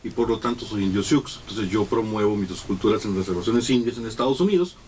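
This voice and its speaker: Mexican male guest, halting speech with fillers, low voice, sits off-mic with faint room hiss. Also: darkish tone, distant mic. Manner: halting, many fillers and restarts. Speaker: guest artist. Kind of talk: casual radio interview. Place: small radio studio. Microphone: desk studio mic, sitting back.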